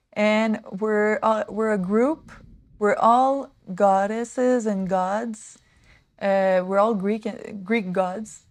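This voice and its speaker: A woman in her thirties hosting a casual makeover livestream, rambling and excitable, with lots of "like" and "um". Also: medium pitch, no echo. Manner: rambling, excitable, many fillers like "like" and "um". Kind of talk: casual chatty livestream talk. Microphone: small desk mic.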